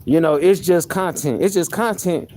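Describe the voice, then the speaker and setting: A man with a soft voice, venting on a live stream in a heated, profane way, often repeating himself. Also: on the high side, moderate pace. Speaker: livestreamer.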